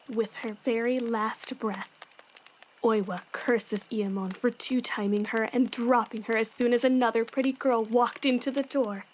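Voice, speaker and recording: A woman's voice: on the high side, steady tone, a little slow, slight background noise, lightly acted, rough recording. A woman playing a composed, dry and sensible young demon slayer in a fan audio drama. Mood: ominous storytelling. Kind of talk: fan audio drama acting, campfire storytelling.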